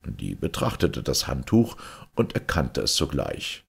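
A man's voice: rumbling low, very animated, a little slow, gravelly, husky. A man reading a fairy tale aloud as a storyteller.

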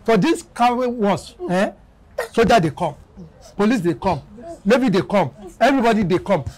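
An elderly Nigerian boss in a comedy. His voice is high for a man, and he is bossy and blustering, repeating words in an excitable way. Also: moderate pace. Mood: boastful. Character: blustering, boastful old car-wash boss. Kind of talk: comedy drama acting in Nigerian Pidgin.

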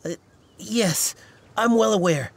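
A man's voice: high voice, takes it slowly, vocal fry, full character voice. A man voicing an earnest, self-important young fan club leader in a video game, stammering and fussy. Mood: fussy, determined. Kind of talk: video game character acting.

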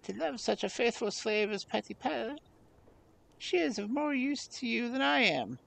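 A loyal pet monkey from a fairy tale, played by a male reader. The voice sits very high and sounds earnest, with a touch of self-importance. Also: sing-song, normal speed, vocal fry, fairly bright tone, heavily acted. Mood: earnest, grand. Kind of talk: storybook character voice.

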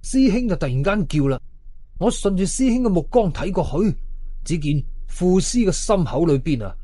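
A man's voice: sits high, moderate pace, no room sound. A man with a Hong Kong Cantonese accent narrating a horror story in a dramatic, suspenseful way. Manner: animated, suspenseful telling.

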